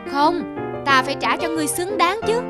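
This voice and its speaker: A woman's voice: very high-pitched, expressive, normal speed, over loud music. A woman voicing a grand, curious, easily delighted middle-aged king in a cartoon fairy tale, with pompous, exclamatory delivery. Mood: grandly generous.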